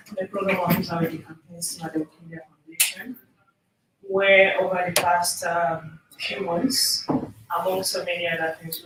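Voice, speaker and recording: A woman presenting a workshop informally, putting questions to the people in front of her. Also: fairly low pitch. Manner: informal, engages audience with questions. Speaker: presenter, program officer. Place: conference room. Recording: echoey room, distant mic, noisy.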